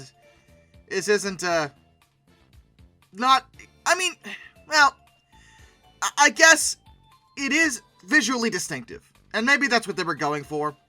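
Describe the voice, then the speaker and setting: A host giving casual, humorous commentary on pictures, rambling through asides and breaking into animated, mock-outraged exclamations. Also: medium pitch, no echo.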